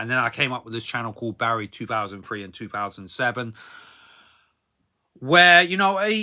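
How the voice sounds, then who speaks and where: A man in his thirties with a very bright, forceful voice at an average male pitch. He talks like a vlogger doing a casual story time, rambling along in a hesitant, repetitive way and often tacking filler tags onto the ends of his phrases.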